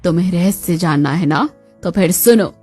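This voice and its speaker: A woman's voice: lowish voice, moderate pace, darkish tone, voice pushed down, close to an everyday voice. A stern, grieving middle-aged cartoon mother-in-law, played by a woman. She speaks dramatically and reproachfully, over faint music.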